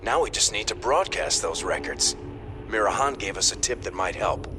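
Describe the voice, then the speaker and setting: An actor playing an earnest, composed ship's captain giving a formal briefing in a video game cinematic. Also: male voice, fairly low pitch, big pitch swings, moderate pace, music in the background. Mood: purposeful.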